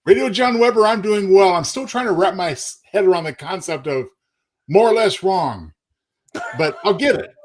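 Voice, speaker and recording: A man co-hosting a casual talk show, explaining plans in a measured, conversational way. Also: high-pitched, lively intonation. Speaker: co-host. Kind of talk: casual show announcements and banter.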